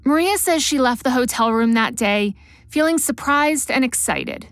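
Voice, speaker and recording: Podcast narrator, woman, bright high register, measured scripted delivery. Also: expressive, no room sound, clean studio sound, soft background music.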